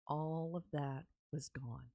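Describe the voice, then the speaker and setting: A middle-aged woman with a Texas accent tells her personal story on a podcast, earnest and candid as she speaks straight to her listeners. Her voice sits a little low. She is recorded close on a studio microphone in a small studio, with a dry, dead sound. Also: fairly even intonation, slow, dark tone, dry recording. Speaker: podcast host. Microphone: studio mic on stand, close, with headphones.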